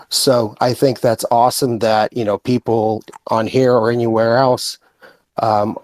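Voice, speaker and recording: American guy talking earnestly, kinda halting, super dry audio, regular pitch. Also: darkish tone, no echo. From born in Russia, raised in the United States from age five. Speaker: guest speaker in online group audio chat. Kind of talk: casual, earnest political opinion talk.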